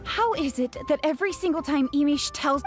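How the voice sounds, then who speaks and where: A woman's voice: high-pitched, a little fast, over music, close to an everyday voice. A woman voicing a spirited, breezy young woman in a fantasy video game. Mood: musing.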